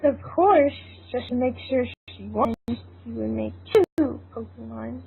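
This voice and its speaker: A woman's voice: on the high side, measured pace, vocal fry, husky. A woman reading scripted fan fiction narration as an amateur narrator. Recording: tinny, band-limited, noisy amateur recording.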